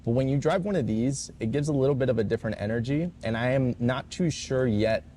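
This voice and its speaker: A man's voice: mid-range voice, brisk, warm tone, slight background noise. A man co-hosting a podcast in a warehouse, chatting casually in a rambling way, full of fillers and swearing. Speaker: podcast co-host. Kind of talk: casual chat.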